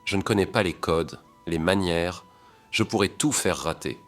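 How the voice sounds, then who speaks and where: A man's voice: deep voice, normal speed, faint music behind, lightly acted. A man reading the lines of a nervous young woman, hesitant and shaky. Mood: anxious. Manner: hesitant, trembling.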